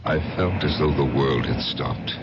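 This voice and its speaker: An actor in an old-time radio drama playing a haunted, guilt-ridden man, confessing intensely with halting dramatic pauses. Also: extremely low pitch, vocal fry, heavy background noise, full character voice. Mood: haunted intensity. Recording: muffled vintage radio recording.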